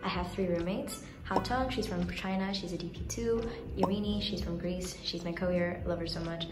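A woman's voice: lowish voice, normal speed, music in the background. A young woman from Indonesia chatting casually and with youthful, bubbly informality as she films a room-tour vlog. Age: young adult. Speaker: vlogger. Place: small furnished dorm rooms and bathroom.

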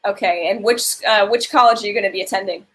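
A woman's voice: mid-range voice, fast talker, fairly bright tone, poor audio. A woman in her thirties on a video call, giving earnest, direct advice as a financial aid advisor in a webinar.

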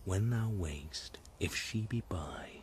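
Slow, low fairy king. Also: soft background music. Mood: hushed, commanding. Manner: slow, hushed, measured verse delivery. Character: commanding male fairy king casting a spell. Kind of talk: classical Shakespearean radio acting.

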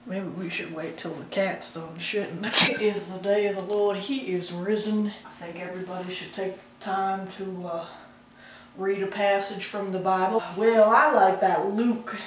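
A woman in her thirties hosting a comedic home cooking show, rambling in a deadpan way and laughing often, filmed in a small kitchen on a camera mic. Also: mid-range voice. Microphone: camera mic from across the room. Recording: roomy, distant, noisy home recording.

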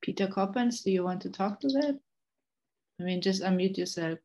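A woman with a middling pitch moderates an academic discussion over a video call. She reads audience questions aloud and passes the floor to participants. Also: moderate pace, dark tone, slight background noise. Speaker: moderator of online lecture. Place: indoor room on video call.

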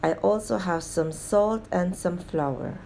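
Home cooking host, woman; lowish mellow voice, steady how-to delivery, faint hiss behind. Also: slow pace, creaky. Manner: plain, steady instructional tone addressing viewers as guys. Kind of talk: step-by-step recipe narration.

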